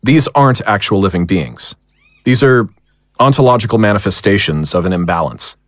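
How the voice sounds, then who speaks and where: A man acts as a commanding monster hunter in an audio drama. His low voice swings widely as he directs his team, recorded close and dry. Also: expressive, moderate pace, no echo, lightly acted. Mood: focused. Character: commanding male monster hunter planning a ritual battle.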